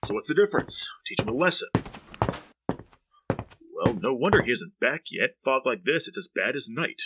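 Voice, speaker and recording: A man's voice: mid-range voice, big pitch swings, moderate pace, very noisy, natural voice. A man playing an irritable, scolding middle-aged man obsessed with his plants, in 1940s radio drama style. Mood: groggy, cross. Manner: scolding, impatient, sputtering.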